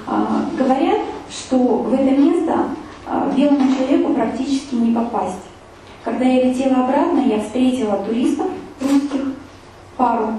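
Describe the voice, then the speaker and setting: A woman recounts her trip to a live audience in a loose, rambling way, with many fillers and restarts. Her voice is mellow and fairly high. She sounds set back from the mic in a very echoey room, with a faint hiss behind her. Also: distant mic. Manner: chatty, full of fillers and restarts. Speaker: speaker giving a travel talk with photos. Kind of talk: casual spoken travel story to an audience.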